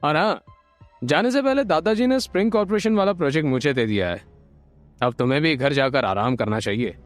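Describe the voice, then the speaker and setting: A man's voice: a little high, animated, a little fast, faint music behind, lightly acted. A man voicing an arrogant young heir of a rich family in an audio drama, smug and taunting.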